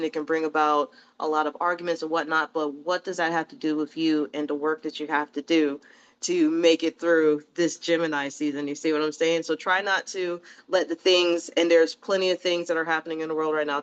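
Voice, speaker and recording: A woman's voice: sits low, clean tone, muffled recording. A woman doing a casual, rambling tarot reading in a chatty, reassuring way, a little sniffly from allergies. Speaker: tarot reader.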